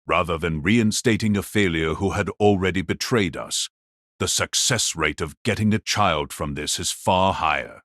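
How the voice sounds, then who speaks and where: Elderly evil magus, bottomless deep pitch, slow condescending delivery, mocking, dry close sound. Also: male voice, a bit bright, no room sound. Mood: condescending, calculating. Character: wicked old male magus patriarch, cold, mocking, gloating. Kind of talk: dramatised audiobook acting.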